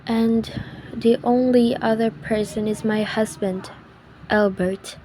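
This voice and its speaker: A woman's voice: a little high, mellow, a little hiss or hum, poor audio. A woman playing an anxious, demanding invalid housewife on the phone in an old-time radio thriller style. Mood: rattled, pleading. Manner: fretful, insistent, haughty. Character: anxious, demanding, self-pitying invalid housewife phoning the police.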